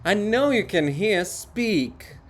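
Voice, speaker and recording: A man's voice: high voice, lively intonation, slow, slight background noise, pitched above the performer's own voice. A man playing an indignant, haughty monument of a writer, declaiming and scolding in a theatrical reading. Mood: loud, indignant.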